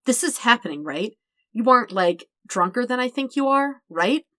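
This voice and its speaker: A woman's voice: a little high, animated, normal speed, pushed and bright, no room sound, studio quality, pitched above the performer's own voice. Woman as a casual, tender young man, dryly recorded. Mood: hesitant, disbelieving.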